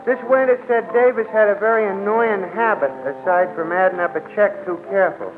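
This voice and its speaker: A high-pitched, naive and chatty bartender, played by a man, rambles in ungrammatical working-class slang. He is the good-natured comic sidekick of a 1940s radio drama, heard on a tinny old recording with music behind. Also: a little fast, natural voice. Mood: gossipy. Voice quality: folksy, ungrammatical working-class city speech.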